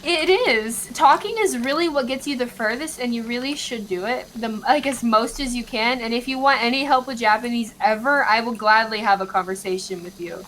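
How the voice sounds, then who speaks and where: A woman's voice: fairly high pitch, fairly quick, few pauses, fairly bright tone, a little hiss or hum, rough recording. A woman in her twenties chatting casually, explaining things and laughing easily. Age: 20s. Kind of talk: casual chat.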